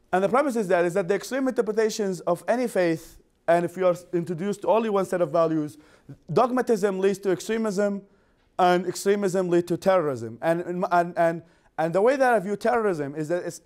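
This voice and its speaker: Iraqi man in his 30s, English, slightly high voice, animated classroom lecturer who restarts often. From Iraq. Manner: animated, gestures widely, frequent restarts. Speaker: guest speaker. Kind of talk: university lecture. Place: university classroom.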